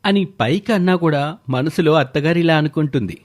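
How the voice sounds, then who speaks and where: Casting: male storyteller for scripted moral-tale narration, voice on the higher side. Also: a little fast.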